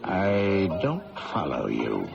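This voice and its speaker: Gravelly, animated rogue. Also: male voice, sits low, slow, very noisy, full character voice. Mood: breezy, evasive. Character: roguish hard-drinking man caught posing as his brother. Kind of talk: old-time radio drama acting. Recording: dull radio broadcast with loud music.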